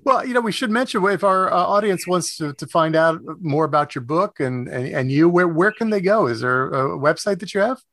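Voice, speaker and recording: A man's voice: a little high, brisk, few pauses, a bit bright, dry recording. Dry-sounding male radio interviewer, meandering. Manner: hesitant, meandering questions. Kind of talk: conversational music interview.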